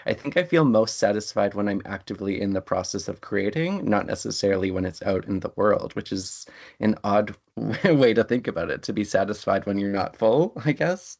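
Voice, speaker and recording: A man speaking reflectively and in a measured way as a writer being interviewed. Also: on the low side, gravelly, a little mellow. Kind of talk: thoughtful conversational interview. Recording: dull, lo-fi audio.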